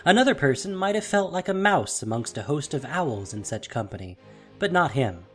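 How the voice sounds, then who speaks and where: Dramatic fantasy audio drama narrator, animated intonation, scripted storytelling, soft music underneath. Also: mid-range voice, moderate pace.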